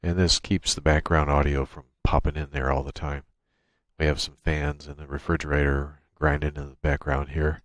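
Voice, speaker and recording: Low-voiced man giving a software walkthrough. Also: little pitch movement, moderate pace, dry recording. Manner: explanatory, step by step. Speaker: tutorial presenter. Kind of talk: informal software screencast walkthrough. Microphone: USB microphone through a noise gate.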